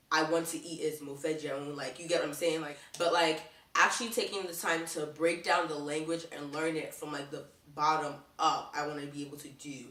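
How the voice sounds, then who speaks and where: A woman's voice: low-pitched, pushed and bright, echoey room, far from the mic. A young woman vlogging casually to camera, chatting and leaning on "like" in nearly every sentence. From Baltimore, USA. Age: young adult. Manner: chatty, leans on "like" constantly.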